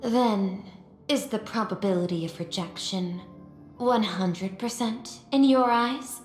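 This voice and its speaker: A woman's voice: medium pitch, darkish tone, soft background music, lightly acted. Actress as composed, analytical executive woman, roomy echo. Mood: calm, questioning. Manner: formal, measured, precise, calculating. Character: composed, analytical female corporate executive of a sci-fi faction. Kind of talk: scripted video game character dialogue.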